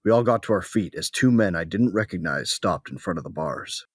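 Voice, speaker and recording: Low-voiced gritty soldier. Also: male voice, lively intonation, fairly quick, husky, no room sound, clean studio sound. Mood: grim, dry. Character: gritty male soldier narrator, battle-hungry, protective. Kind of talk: first-person narration in a sci-fi audio drama.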